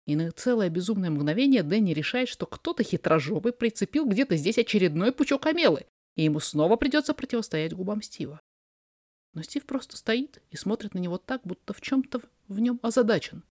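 A narrator reading a fiction story aloud in an expressive, dramatized way. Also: mid-range voice, brisk, dry recording, clean studio sound. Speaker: podfic reader, narrator.

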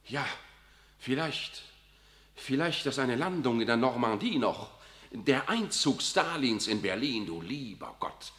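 A man's voice: medium pitch, animated, measured pace, a bit bright. An actor playing a worldly older cardinal in a 1960s German radio drama, unctuous and evasive, forever tagging "isn't it" onto his sentences. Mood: sly. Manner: unctuous, keeps asking "nicht wahr".

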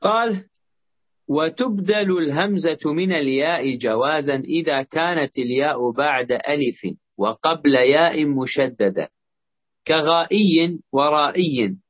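Slow-reading man, dull recording. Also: a little high, animated. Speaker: student reading the text aloud. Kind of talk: reading a set text aloud in class.

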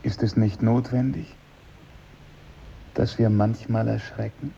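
A man's voice: lowish voice, steady tone, slow, creaky, dark tone, slight background noise. An actor playing a mentally disturbed, intense man with a formal, probing manner in a 1950s German radio play. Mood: eerie, probing. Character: mentally disturbed former pupil, eerie, intense, easily affronted. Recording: dull vintage radio recording.

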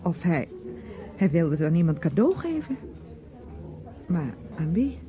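An actress playing a sensible young niece in a radio drama, patient and gently probing. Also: female voice, lowish voice, big pitch swings, a little slow. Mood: questioning. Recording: muffled, noisy old radio play recording.

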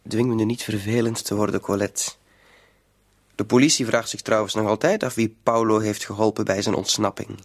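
An actor playing a shady small-time crook in a radio play, hesitant yet smooth-talking and insinuating, coaxing with a quiet threat underneath. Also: male voice, lowish voice, steady tone, moderate pace. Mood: quietly threatening. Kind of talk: Dutch-language radio play acting.

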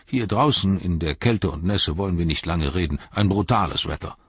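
A man's voice: deep voice, moderate pace, slightly rough, no room sound, muffled recording. A man voicing a wary, plain-spoken gatekeeper in an audiobook, terse and grumbling. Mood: gruffly welcoming.

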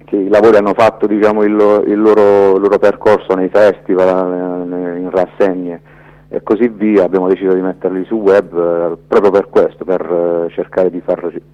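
A man's voice: deep voice, level tone, normal speed, mellow. A man giving spontaneous, reflective radio interview answers as a filmmaker, often hesitating and searching for words, on dull lo-fi audio. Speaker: documentary filmmaker, interview guest.

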